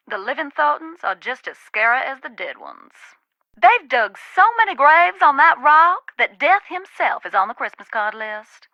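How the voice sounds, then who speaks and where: Casting: female Southern spirit medium in a mystery game, haunted storyteller, emphatic, crisp-edged bright tone, close dry sound slightly dulled. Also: on the high side, no echo, muffled recording. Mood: frank, ominous. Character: haunted Southern woman, a warm, wary ghost-hunting spirit medium. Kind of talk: dramatic video game dialogue.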